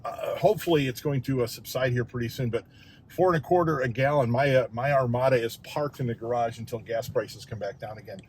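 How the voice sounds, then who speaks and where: A hoarse man with a Midwestern American accent, hosting a casual shopping vlog and chatting about prices. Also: medium pitch, a little fast, darkish tone, a little hiss or hum. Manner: chatty, talks prices aloud. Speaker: vlog host. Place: car, then grocery store aisles.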